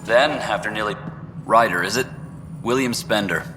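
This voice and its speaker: An actor playing a smug, slippery male assistant director in a video game, talking smoothly and brushing things off. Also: on the low side, moderate pace, big-room sound, music in the background, close to an everyday voice. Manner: smooth, dismissive.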